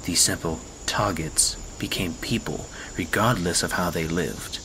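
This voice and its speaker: An actor playing a brooding reformed assassin who leads a resistance, speaking in a grave, world-weary, measured way in an audio drama. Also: male voice, low-pitched, noisy background. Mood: haunted, confessional.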